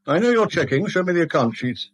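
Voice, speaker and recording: An actor playing a fussy, exasperated middle-aged English assistant bank manager in a 1970s radio drama, husky, officious and snappish. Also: male voice, a little high, big pitch swings, fast. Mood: suspicious, snappish.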